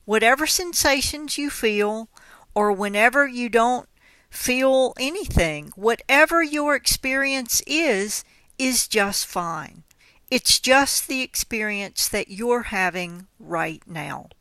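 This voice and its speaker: A woman guides a meditation at a very slow, measured pace. Her mid-pitched voice has a distinctly bright edge, while her phrasing stays gentle and instructional. The sound is close and dry. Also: expressive, dry recording. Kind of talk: guided mindfulness body scan instruction.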